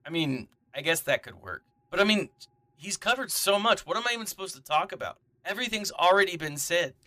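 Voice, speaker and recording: Radio comedy: male actor as fussy, pompous storyteller, bright forward tone, slightly high, chatty delivery. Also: animated, a little fast. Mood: flustered. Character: pompous, fussy bald storyteller, a preening diva. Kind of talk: comedic fan radio drama acting.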